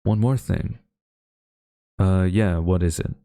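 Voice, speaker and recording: A man's voice: deep voice, warm tone, dry recording, clean studio sound. A man acting a young university student in a romantic audio roleplay, a defensive, secretly smitten rival who grumbles and gets flustered. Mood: hesitant, nervous. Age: young adult. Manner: flustered, grumbling, halting denials.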